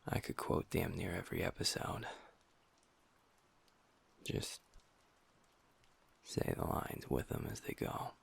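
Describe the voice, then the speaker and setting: Soft sad werewolf bf, a guy doing it, super husky half-whispered voice, gravelly, audio rp. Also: deep voice, expressive, takes it slowly, long pauses, full character voice. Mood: subdued. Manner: soft, hushed, intimate talk to a partner. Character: gentle young male werewolf boyfriend, pack beta, guilt-ridden. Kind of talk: audio roleplay.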